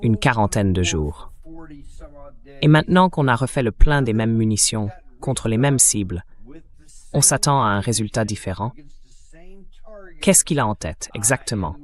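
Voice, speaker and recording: A man's voice: lowish voice, lively intonation, moderate pace, pauses often. A man with a synthetic-sounding voice hosting a conversational political interview, questioning in an incredulous way. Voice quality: AI-generated translation voice. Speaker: interview host.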